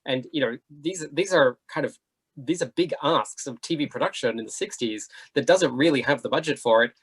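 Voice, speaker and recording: A man speaking conversationally as a panelist in a casual discussion, animated and often checking in with the listener. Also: mid-range voice, normal speed, breathy edge, rough recording.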